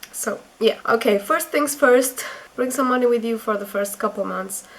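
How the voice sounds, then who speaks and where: A woman's voice: medium pitch, a bit bright. A young woman from Portugal with a Portuguese accent, giving chatty advice in a casual vlog, full of fillers. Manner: chatty, many fillers and restarts. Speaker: vlogger.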